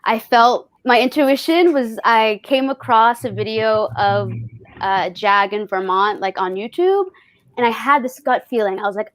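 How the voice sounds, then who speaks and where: A woman with a fairly high voice tells personal stories as an interview guest. She talks casually and reflectively, with expressive touches. The sound is dry, with hardly any room in it. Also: no echo. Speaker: festival artist being interviewed.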